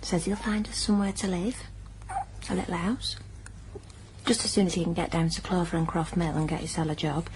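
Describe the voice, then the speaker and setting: A woman's voice: fairly low pitch, level tone, brisk, background noise, close to an everyday voice. A woman acting in a radio drama as a desperate, plaintive young unwed mother with a Northern English accent. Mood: wistful hope. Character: poorhouse ghost, desperate young unwed mother, defiant then despairing.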